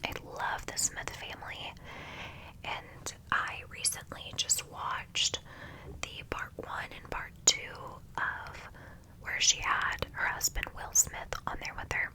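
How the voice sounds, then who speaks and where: A young woman chatting in a soft whisper for an ASMR video, gushing with lots of ums, her voice stuffy and nasal from congestion. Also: slow, rough recording. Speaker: ASMR creator.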